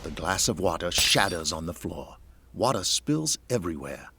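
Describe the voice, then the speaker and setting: A man's voice: low voice, sing-song, normal speed, pushed and bright. A man giving dramatic scripted narration as the narrator of an audio drama. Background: music.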